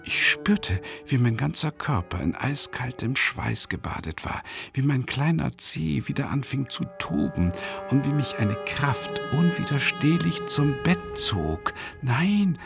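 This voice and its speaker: An actor playing a tormented, self-doubting man who narrates a radio drama monologue in a brooding, intense way, with a gravelly, husky voice. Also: medium pitch, sing-song, unhurried, music in the background. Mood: panicked, driven. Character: tormented, self-doubting, impoverished male travelling lecturer. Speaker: first-person narrator.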